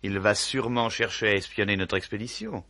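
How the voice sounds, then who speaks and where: An actor playing a calm, scheming gang boss giving orders in a radio comedy. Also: male voice, on the low side, brisk, creaky, no room sound, exaggerated voice. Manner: calm, commanding.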